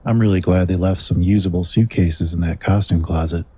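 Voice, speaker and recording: An actor playing a dry, wry, laid-back man with a husky voice in an audio drama. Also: deep voice, steady tone, a little fast, warm tone. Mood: pensive.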